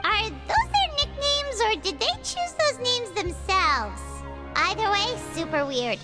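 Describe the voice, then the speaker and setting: Tiny fairy sidekick, squeaky childlike voice, very high, bouncy intonation, loud game music behind. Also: female voice, big pitch swings, unhurried, full character voice. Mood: puzzled. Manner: chatty, talks about herself in third person. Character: tiny floating fairy companion, chirpy and excitable. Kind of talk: video game dialogue.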